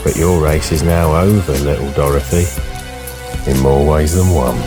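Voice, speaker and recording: A man performs a villainous police officer with an extremely deep voice. He is stern and condescending, with quiet menace, in an audio drama with heavy music behind him. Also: moderate pace. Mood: sinister gloating.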